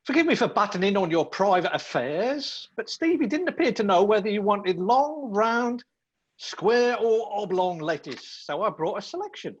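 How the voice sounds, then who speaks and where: An actor in a live radio play, playing a cheeky, persistent young undercover detective with a breezy, theatrical manner. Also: male voice, high-pitched, animated, normal speed, slightly rough, dull audio. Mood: breezy, teasing.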